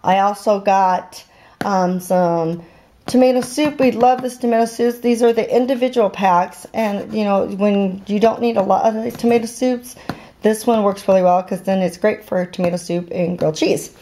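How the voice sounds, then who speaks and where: A woman's voice: medium pitch, steady tone, normal speed, warm tone, slight background noise. A middle-aged woman narrating a grocery haul at home in her kitchen, casual and chatty with lots of ums and uhs, filming on a handheld camera. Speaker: vlogger showing a grocery haul. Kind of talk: casual show-and-tell narration. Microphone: handheld camera filming the counter.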